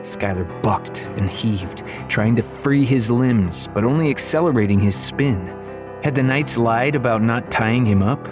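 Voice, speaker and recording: A man reading a children's fantasy novel as an audiobook narrator, in expressive, dramatic storytelling style. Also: mid-range voice, measured pace, over music.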